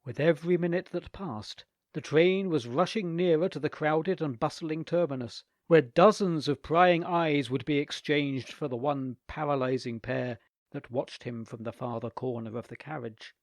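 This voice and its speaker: A man reading a humorous short story aloud in an animated, theatrical way. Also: fairly high pitch, moderate pace, no room sound, studio quality. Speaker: reader of short stories.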